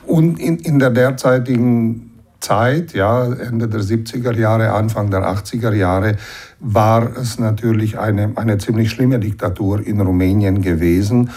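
A man in his sixties from the Banat in western Romania, explaining and recollecting as an interview guest in a measured way, often adding a short tag to his sentences. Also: fairly low pitch, darkish tone, husky. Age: 60s.

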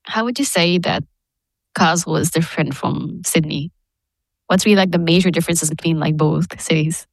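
A woman's voice: sits low, moderate pace, no room sound, rough recording. A woman interviewing a student, asking friendly questions and checking in with "right?". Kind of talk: casual interview.

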